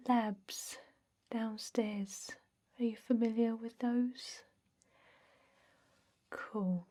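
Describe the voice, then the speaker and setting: A woman playing a bored energy vampire office clerk in an ASMR roleplay, soft, breathy and often whispering, with a halting deadpan delivery. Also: on the high side. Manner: halting, monotone, hesitant, deadpan.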